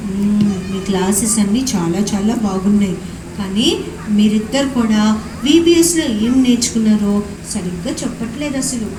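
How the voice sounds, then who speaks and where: A woman voicing a kindly grandpa puppet in a live puppet show, gently scolding the children. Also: mid-range voice, moderate pace, lightly acted. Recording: echoey, noisy hall.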